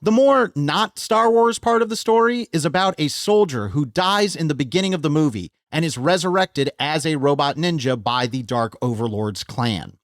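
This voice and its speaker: A man's voice: a little high, lively intonation, normal speed, few pauses, no echo, clean studio sound. A man with a North American accent hosting a scripted video essay, enthusiastic and wry, with self-deprecating joking asides. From United States. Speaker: host of a film video essay channel.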